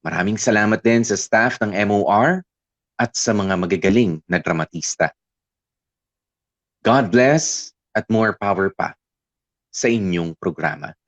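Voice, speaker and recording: A reflective letter writer. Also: male voice, lowish voice, measured pace, pauses often, dry recording. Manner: reflective, heartfelt. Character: reflective man reading his letter aloud. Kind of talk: scripted letter narration.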